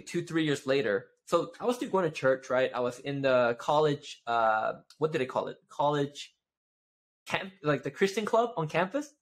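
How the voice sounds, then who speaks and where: A man in his twenties from Malaysia, chatting casually as a guest over a video call and talking with his hands. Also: fairly high pitch. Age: 20s. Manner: animated, gestures with both hands, many "right?" tags. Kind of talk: casual interview chat. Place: home office with shelves.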